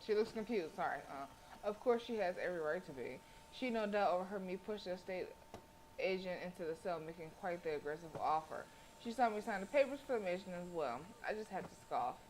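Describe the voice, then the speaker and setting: A woman's voice: fairly low pitch, expressive, fast, a little mellow, a little hiss or hum, poor audio. A woman streaming a game, with sassy, slangy casual commentary and reading aloud.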